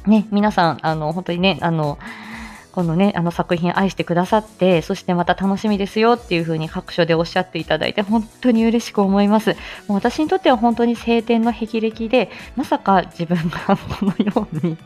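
A woman's voice: mid-range voice, fairly quick, darkish tone, faint music behind. A middle-aged woman hosting a casual morning livestream, chatting politely and gigglily with her listeners and making announcements. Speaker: host of a live audio show; speech-language therapist.

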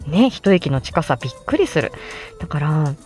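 A woman's voice: deep voice, big pitch swings, moderate pace, a little mellow, faint music behind. A woman rambling casually to her listeners as a stream host, giggly and self-deprecating. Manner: giggly, self-deprecating, laughs often at herself.

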